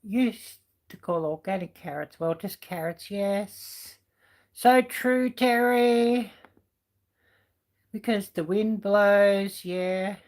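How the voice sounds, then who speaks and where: A middle-aged woman with a croaky voice chatting casually on a livestream, answering viewers with lots of ums and you knows. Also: mid-range voice, slow pace, darkish tone. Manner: rambling with many fillers, chatty. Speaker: livestream host reading chat. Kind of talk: casual chat.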